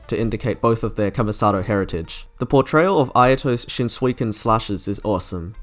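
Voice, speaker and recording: A man narrating a scripted video game review as an online reviewer. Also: lowish voice. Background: faint music.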